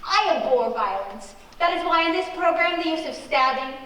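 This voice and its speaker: A woman's voice: very high-pitched, lively intonation, moderate pace, fairly bright tone. Woman playing a droll radio suspense host, echoey hall. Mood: droll, mock-serious. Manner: theatrical, mock-ominous, tongue-in-cheek. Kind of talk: old-time radio mystery host, scripted introduction. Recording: very echoey hall, distant mic.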